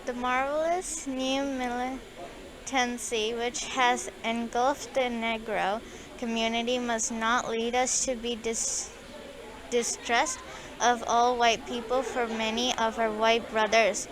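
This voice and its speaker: Casting: female student, monotone reading, crowd audible behind her. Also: a little high, slow pace, rough recording. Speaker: student reader. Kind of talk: reading a speech aloud.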